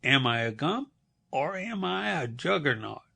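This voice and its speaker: A man voicing a bewildered, squeaky flying creature with a moose-like head, speaking formally and mournfully. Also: sing-song, a little slow, vocal fry, bright, forceful tone, full character voice.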